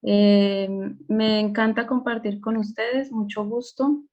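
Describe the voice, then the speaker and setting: A woman, a Spanish teacher, introducing herself calmly on a video call with filler pauses. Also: fairly high pitch. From Colombia. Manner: calm, unhurried, filler pauses. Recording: dull lo-fi call audio.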